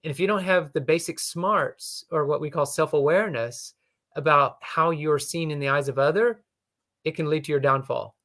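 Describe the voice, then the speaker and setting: A man explaining leadership lessons in a casual, thoughtful way, often pausing with "you know". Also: a little high, a bit bright.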